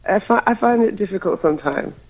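Gravelly-voiced reflective woman guest. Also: mid-range voice, lively intonation, fairly quick, a little mellow, muffled recording. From Hawaii. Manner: reflective, rambling with many fillers. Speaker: musician guest. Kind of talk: casual interview chat.

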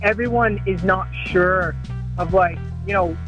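A musician answers interview questions over a remote line, easygoing and a bit hesitant. His voice sits high for a man, and music plays clearly underneath. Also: unhurried, fairly bright tone, lo-fi sound. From Canada. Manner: easygoing, hesitant, many fillers and restarts. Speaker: musician guest. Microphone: remote call line.

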